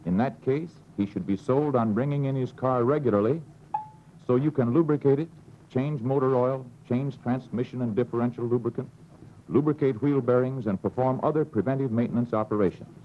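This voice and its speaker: An American man narrating an instructional film in a measured, instructive way, on a dull old film soundtrack with faint hiss. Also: mid-range voice.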